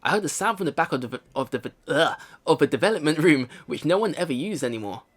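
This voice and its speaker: High-voiced, animated male let's player. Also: quick pace. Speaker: let's play host. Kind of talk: reading game narration aloud with casual reactions. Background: game music.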